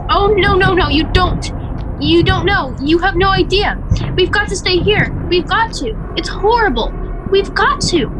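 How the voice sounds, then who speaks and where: A woman's voice: very high voice, animated, unhurried, background noise. A woman playing a frantic, terrified wife and mother in an amateur audio horror drama, pleading and repeating herself. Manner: pleading, stammering repetition.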